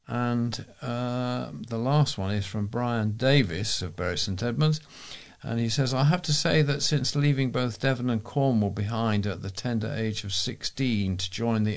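Man, British accent, lowish pitch, volunteer newsreader, reading local news and letters aloud.

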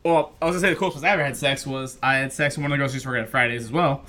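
A man co-hosting a podcast in casual, crude banter, telling stories in a profane, excitable way. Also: mid-range voice, racing. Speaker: podcast co-host.

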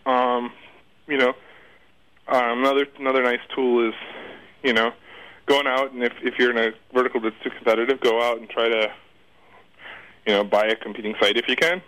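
Rambling man with a mid-pitched voice. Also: level tone, brisk, long pauses, a bit bright, rough recording. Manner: rambling, repeats words and trails off. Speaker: interview guest, internet marketing expert. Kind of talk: casual off-the-cuff interview answers.

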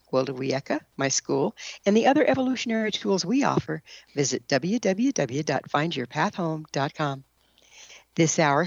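A woman radio host with a gravelly, fry-laden voice and a mid-range pitch, speaking in a conversational interview manner. The sound is dry and slightly muffled, lo-fi. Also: dry recording. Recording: slightly dull lo-fi audio.

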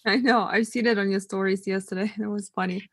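A woman's voice: medium pitch, fairly even intonation, no room sound. Ukrainian-accented woman, dry close sound. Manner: non-native grammar, measured and earnest. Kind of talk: casual chat.

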